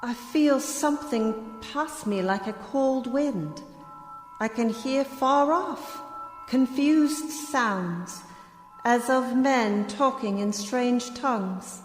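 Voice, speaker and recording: A woman's voice: a little high, slow, roomy sound, in a large hall, soft background music. A woman acting an entranced, fearful young heroine in a gothic horror radio play. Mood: entranced, uneasy.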